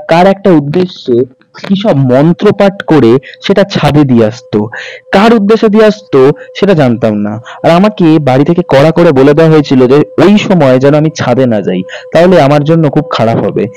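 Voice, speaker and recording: A man narrating a ghost story as a calm, steady first-person account told from a woman's point of view. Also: a little high, low-quality recording.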